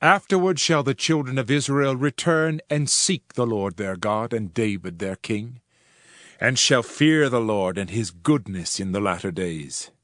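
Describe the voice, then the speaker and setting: A man playing a solemn Old Testament prophet, reciting scripture in a measured, declamatory way. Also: medium pitch, a bit bright, no room sound, studio quality, lightly acted. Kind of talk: dramatized King James scripture narration. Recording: music under the voice. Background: music.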